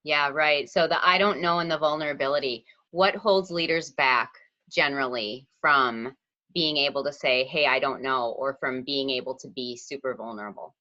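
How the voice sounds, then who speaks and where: A woman's voice: very deep voice, normal speed, bright, forceful tone, highs cut off. A woman hosting a podcast interview, enthusiastic and effusive with her guest. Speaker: podcast host.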